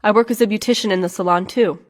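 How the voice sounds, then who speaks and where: Woman, scripted lesson dialogue, clear measured reads; long hall reverb on the track. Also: mid-range voice. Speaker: dialogue reader in English lessons.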